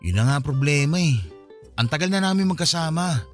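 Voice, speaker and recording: A man's voice: fairly high pitch, expressive, a little fast, soft background music, lightly acted. A man acting a frustrated young boyfriend in a Filipino radio drama, venting in a conversational, complaining way with a Filipino accent. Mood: regretful.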